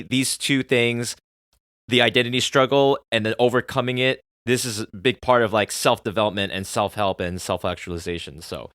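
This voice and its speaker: A man in his thirties, a native Korean speaker, hosting a solo podcast and talking earnestly, moving between soft musing and loud excited bursts. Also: medium pitch, dry recording, studio quality. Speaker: podcast host.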